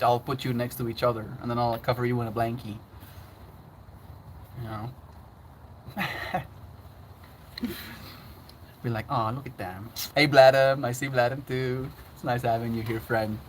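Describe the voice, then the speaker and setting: A man's voice: medium pitch, a little hiss or hum. Chatty man on a livestream. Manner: chatty, laughs often, reads chat aloud. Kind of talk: casual livestream chat.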